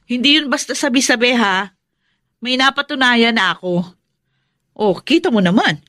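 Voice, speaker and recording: A woman's voice: fairly high pitch, animated, normal speed, pushed and bright, no echo, clean studio sound. A Filipino woman acting a stubborn, superstitious and self-satisfied elder sister in a radio drama, insistent and lecturing. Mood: insistent, superior.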